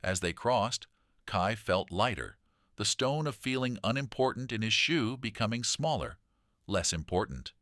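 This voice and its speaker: A man reading a children's story aloud in an expressive, dramatic storytelling manner. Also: on the low side, a little slow, dry recording, studio quality. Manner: dramatic, animated delivery.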